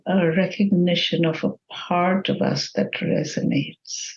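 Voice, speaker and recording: A woman leading a guided meditation in a gentle, measured manner with long pauses between phrases. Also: on the low side, level tone, muffled recording.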